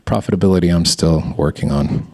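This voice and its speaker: A man giving a conversational, thoughtful panel answer as a business founder, halting and circling back on his phrases. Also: low voice, dark tone. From born in Ethiopia.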